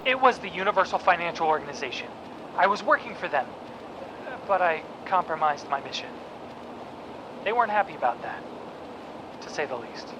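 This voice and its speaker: A man's voice: a little high, expressive, brisk, pauses often. An actor playing a desperate captive spy pleading urgently with his wife over a radio link in an audio drama. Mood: confessing, regretful. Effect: radio transmission. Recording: dull radio transmission, noisy ambience.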